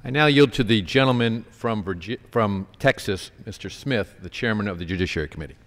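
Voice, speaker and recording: Man, presiding officer, formal announcements, lowish pitch, fast delivery, reverberant chamber. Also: expressive, a bit bright. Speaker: presiding legislator yielding the floor. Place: large legislative chamber. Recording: long hall reverb, chamber microphone.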